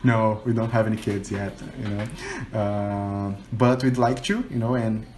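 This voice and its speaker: A Brazilian man speaks English with a Portuguese accent over a video call. His talk is loose and chatty, full of "you know" and quick laughs. A faint hum of the room sits under his voice. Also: fairly low pitch, expressive, a little mellow. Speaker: English teacher and learner. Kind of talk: casual conversation practice call.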